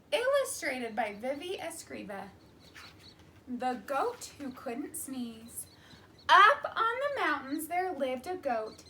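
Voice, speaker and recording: Animated, high-voiced woman reading a storybook. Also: slight background noise. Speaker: teacher. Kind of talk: animated children's storybook read-aloud.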